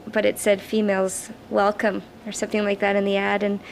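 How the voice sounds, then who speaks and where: A young Canadian woman with a young-sounding voice, the bassist of a rock band, giving earnest, casual answers in an interview. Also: monotone, fairly quick, creaky, low-quality recording. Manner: earnest, laughs easily. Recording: raw camcorder audio with background noise.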